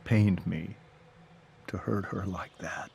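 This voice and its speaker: Stern middle-aged father, slow, gravelly, measured, protective, video game drama. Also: low-pitched, fairly even intonation, a little mellow, a little hiss or hum, full character voice. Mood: bitter, resolute. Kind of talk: dramatic game cutscene acting.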